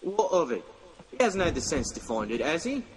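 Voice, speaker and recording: In a long echoing space, a greedy, bullying servant. His voice sits high and swings widely as he orders and sneers in radio mystery style. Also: big pitch swings, fairly quick. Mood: scornful. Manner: bullying, scornful, tags remarks with "yeah?". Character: cruel, greedy, scheming young working-class male servant. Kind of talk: radio mystery drama acting. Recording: long reverb, faint background noise.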